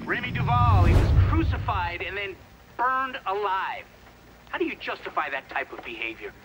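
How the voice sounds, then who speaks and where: An actor playing a stern older senator, formally and insistently questioning a witness in a video game cutscene. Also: male voice, sits high, sing-song, moderate pace, muffled recording, heavy background noise. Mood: incredulous, pressing.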